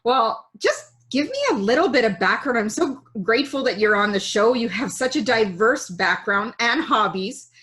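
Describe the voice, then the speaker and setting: A woman's voice: on the high side, fairly bright tone. A woman hosting an interview show, upbeat and enthusiastic as she reads her guest's introduction. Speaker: show host.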